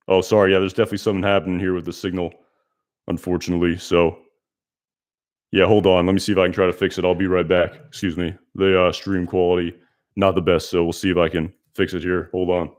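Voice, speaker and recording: A young man with a loud, slightly low voice chats casually on a live stream. He rambles, restarts his sentences and leans on fillers as he answers comments from viewers. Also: steady tone, fairly quick, long pauses. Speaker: YouTube reviewer hosting a live stream.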